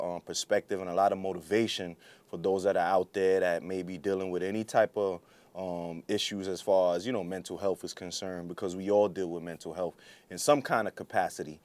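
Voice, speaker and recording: A man's voice: low-pitched, flat delivery, brisk, darkish tone. A man from Guyana who moved to the US as a child, interviewing in a casual, conversational style. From born in Guyana, came to the US at seven. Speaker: interviewer, co-host.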